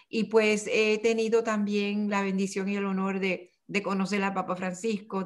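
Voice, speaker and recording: An older woman with a Puerto Rican Spanish accent, a guest in a remote interview, speaking reflectively and often restarting her phrases. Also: mid-range voice. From Puerto Rico.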